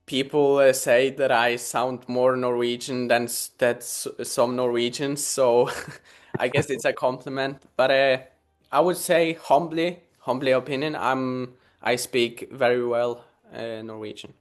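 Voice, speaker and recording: A man's voice: medium pitch, little pitch movement, a little slow. A young man from Poland, a Polish native speaker, answering interview questions hesitantly with many fillers and easy laughs. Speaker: guest.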